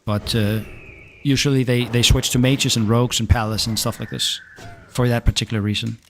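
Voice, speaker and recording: Relaxed Danish man chatting while gaming. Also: medium pitch, slight background noise. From Denmark. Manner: halting, restarts often, relaxed. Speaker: gaming streamer. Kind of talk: casual chat reading viewer questions.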